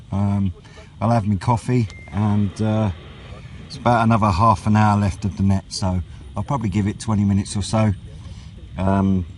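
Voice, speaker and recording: British man, fairly deep pitch, casual vlog chat, rambling, unhurried, outdoor noise behind. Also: a little mellow. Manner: rambling, unhurried, low-key. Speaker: radio hobbyist vlogger. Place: outdoors on wooded high ground by a road. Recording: outdoor noise with passing vehicles.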